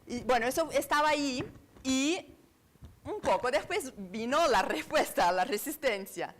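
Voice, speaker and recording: A woman with an Argentine accent speaks at a conference in a fairly high voice. She talks her way through ideas with fillers and false starts and keeps turning to the room for agreement. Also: animated, fairly bright tone, a little hiss or hum. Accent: Argentine Spanish. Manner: searching, halting, many fillers and restarts; asks the room for agreement with tag questions. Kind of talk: lecture to a live audience.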